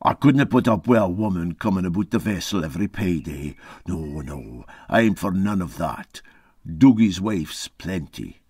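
Man acting a boastful old Scottish sea captain, very animated. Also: low voice, measured pace, breathy edge, no room sound, studio quality, pitched below the performer's own voice, full character voice. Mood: cocky. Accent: Scottish Highland. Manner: garrulous, folksy, emphatic.

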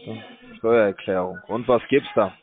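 Pushy scam caller on a tinny phone line. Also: male voice, lowish voice, moderate pace, soft background music. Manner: broken German, filler-heavy, pushy salesman. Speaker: scam call center caller. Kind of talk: phone call.

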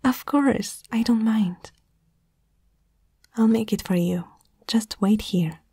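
A woman whispering softly and tenderly in a breathy voice, in a reassuring ASMR comfort roleplay, close to the microphone. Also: fairly high pitch, takes it slowly, dry recording.

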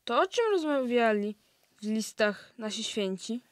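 A woman's voice: on the high side, animated, a little slow, dry recording, studio quality. A young female performer playing a curious, candid school pupil in an amateur radio play.

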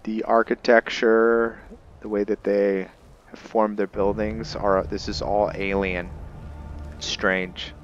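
A man giving unscripted, reflective roleplay gameplay commentary, thinking aloud in a halting, musing way. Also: lowish voice, level tone, lo-fi sound, a little hiss or hum. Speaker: gamer narrating a roleplay playthrough. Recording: game rain and thunder behind voice. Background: game ambience of rain and thunder.

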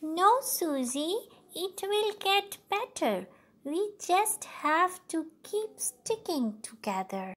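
Woman reading as patient big sister, unhurried. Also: very high-pitched, very animated, a bit bright, pitched above the performer's own voice, exaggerated voice. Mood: reassuring. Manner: explanatory, reassuring. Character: patient, caring older sister explaining things, a girl. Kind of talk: storybook read-aloud.

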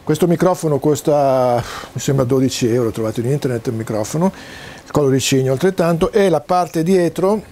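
A man gives an informal, halting technical explanation to camera, pausing often as he searches for words. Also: on the high side, dark tone. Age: 60s. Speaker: presenter demonstrating home-built audio and video gear. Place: small cluttered home study, indoors.

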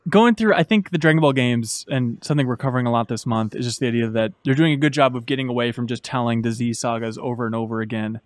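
A man's voice: mid-range voice, fast, few pauses, dry recording. A man in his thirties interviewing a guest casually as a podcast host, close to a studio microphone. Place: indoor podcast studio with brick wall and shelves. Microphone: desk microphone up close.

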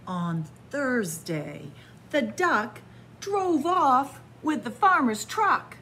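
Warm-up librarian type, middle-aged woman, bright higher voice, playful story reading in a library. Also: very animated, extremely slow, a little hiss or hum. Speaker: children's librarian. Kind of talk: picture book read-aloud. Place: library, in front of bookshelves. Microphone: camera at close range.

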